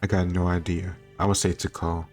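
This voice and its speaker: A man with a very low voice thinks out loud while hosting a casual quiz reaction. He talks conversationally and often second-guesses himself, with music playing in the background. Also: little pitch movement, fairly quick. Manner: conversational, thinking aloud, self-doubting. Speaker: host reacting to a history quiz. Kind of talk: casual commentary, answering quiz questions.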